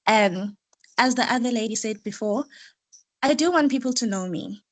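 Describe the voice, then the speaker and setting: A soft-spoken woman, giving a spoken pitch about herself over a video call. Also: moderate pace, low-quality recording. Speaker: course participant. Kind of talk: spoken one-minute pitch.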